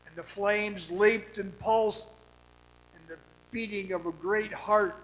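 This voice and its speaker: A man playing a weary, awestruck man who recounts an eerie ordeal in a radio drama, dramatic, halting and haunted. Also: high voice, fairly even intonation, fairly bright tone, low-quality recording. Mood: awed. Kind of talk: dramatic radio play narration. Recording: music behind the voice.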